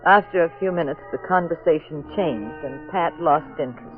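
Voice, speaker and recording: An actress playing a detective's jealous, witty wife in an old radio mystery, with an arch, teasing delivery. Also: female voice, low-pitched, normal speed, natural voice. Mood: narrating. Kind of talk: 1940s radio mystery acting. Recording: muffled old radio recording, faint music.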